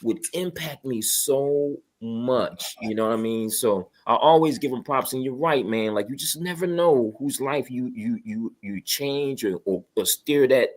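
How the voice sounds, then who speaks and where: A man's voice: medium pitch, measured pace, hardly any pauses. A man in a casual, heartfelt group conversation, telling anecdotes emphatically and checking that listeners follow him. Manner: earnest, emphatic, often seeking agreement. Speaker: podcast panel participant.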